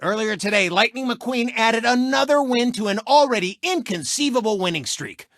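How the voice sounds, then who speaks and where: A man's voice: very high-pitched, animated, moderate pace, a bit bright, no room sound, clean studio sound, heavily acted. A man voicing a cocky, egotistical race car who hosts a TV racing show, with boastful, mocking showboat delivery in a video game. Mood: showy announcing. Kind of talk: animated video game sportscast patter.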